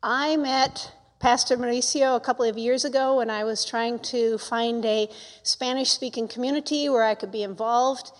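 A woman introducing a guest pastor to a congregation, reading a prepared introduction in a measured, formal manner. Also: on the high side, fairly even intonation. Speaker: church member introducing the guest speaker. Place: church sanctuary. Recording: long hall reverb.

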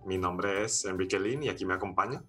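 Man, podcast host, very deep voice, bright forceful tone, steady welcoming manner. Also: fairly quick, breathy edge, faint music behind. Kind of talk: podcast interview.

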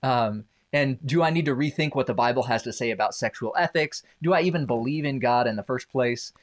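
A man's voice: mid-range voice, a little fast. A man who grew up in Indonesia, a podcast guest, telling his personal story with wry, self-deprecating humor. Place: home basement.

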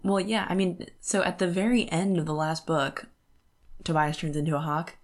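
A woman's voice: on the low side, moderate pace. A young adult woman co-hosting a podcast, chatting casually about books. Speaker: podcast co-host. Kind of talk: casual book discussion chat.

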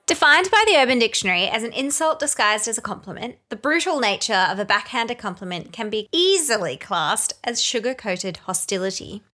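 A woman's voice: a little high, big pitch swings, fairly quick, few pauses, sharp tone. A woman co-hosting a podcast in casual chat, talking in a loose, filler-heavy way and reading listener letters aloud. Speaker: podcast co-host.

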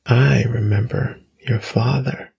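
A man voicing an ancient female spirit in an audiobook, soft, eerie and coaxing, in a slow, hushed half-whisper. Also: medium pitch, steady tone, vocal fry, slightly rough, exaggerated voice, low-quality recording. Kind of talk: audiobook storytelling.

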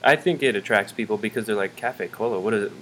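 A man's voice: fairly low pitch, fast, a bit bright, a little hiss or hum, low-quality recording. A male interviewer keeps things casual with short questions and brief encouraging replies. He mostly listens and lets his guest talk.

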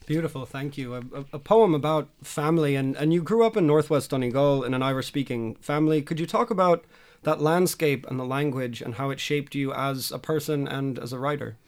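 A man's voice: medium pitch, brisk. A man hosting an interview, asking a poet courteous, carefully framed questions. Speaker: interviewer, podcast host.

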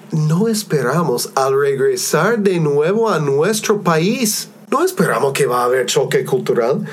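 A man hosting a discussion among missionaries, calmly explaining ideas and asking his guests questions. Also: fairly high pitch, slight background noise. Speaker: podcast host, missionary. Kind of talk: hosted discussion.

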